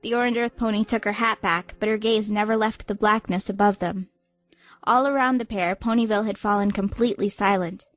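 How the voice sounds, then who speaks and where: A woman's voice: mid-range voice, fairly even intonation, a little fast, no echo, faint music behind. A woman reading scripted, dramatic audiobook narration.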